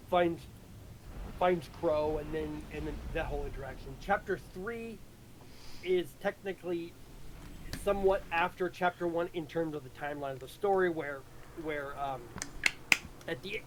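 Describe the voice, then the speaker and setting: Male writer, fairly high voice, loose casual recap with halting repeats, some noise behind. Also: poor audio. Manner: halting, repeats words while explaining. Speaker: writer of an audio drama. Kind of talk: casual rambling story recap on a livestream.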